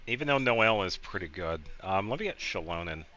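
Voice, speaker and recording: A man giving casual video game commentary as he guides another player, explaining things in a halting, meandering way. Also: low-pitched, lively intonation, pushed and bright.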